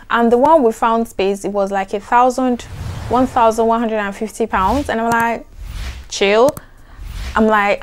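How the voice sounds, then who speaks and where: A young adult woman with a soft, soothing voice, chatting to camera in an animated, emphatic way. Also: on the high side. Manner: animated, emphatic, repeats "trust me". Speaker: vlogger. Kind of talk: casual chat to camera.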